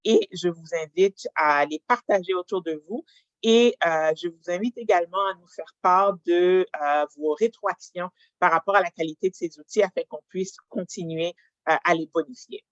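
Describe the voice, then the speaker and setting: A webinar moderator speaking formally and in a measured, hesitant way, with drawn-out pauses, presenting over a video call. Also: medium pitch, no echo. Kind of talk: formal presentation, introducing panelists. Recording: dull lo-fi online call audio.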